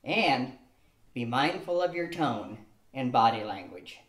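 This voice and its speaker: A man's voice: medium pitch, very animated, slow, a bit bright. An older man playing Elmer, a patient, reasoned husband, reading carefully from a script in an amateur radio play. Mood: explaining. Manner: reads carefully from a script, lecturing tone. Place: studio room with a cloth backdrop. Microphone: stand mic on a tripod. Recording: echoey room.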